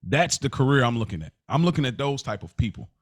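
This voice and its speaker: A man's voice: mid-range voice, quick pace, dry recording. Laid-back man, dry close sound. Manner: relaxed, rambling with restarts and repeated words. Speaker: podcast co-host. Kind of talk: casual chat.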